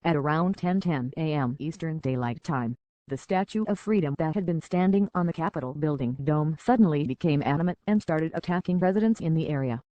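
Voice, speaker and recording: A woman reading a scripted news report as an anchor, in a formal, measured newsreader delivery. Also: sits low, warm tone, no room sound. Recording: dull, lo-fi, dry audio.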